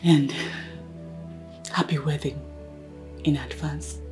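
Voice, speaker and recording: An actress playing a loyal young woman who pleads quietly and in whispers for her mother to be cared for. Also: low voice, fairly even intonation, slow pace, soft background music. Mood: pleading. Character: selfless young woman facing her death, loyal friend.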